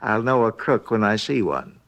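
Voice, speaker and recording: An actor playing a chatty, folksy hotel night clerk, rambling and hesitant, in a 1950s radio drama. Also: male voice, fairly low pitch, sing-song, normal speed, dull audio, loud music behind the voice. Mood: musing, helpful.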